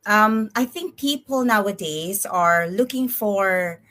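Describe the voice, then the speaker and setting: A woman's voice: mid-range voice, animated, takes it slowly, fairly bright tone. A woman from the Philippines speaking on a live online panel, friendly and polite with some fillers. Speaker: guest panelist. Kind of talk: live stream panel answer.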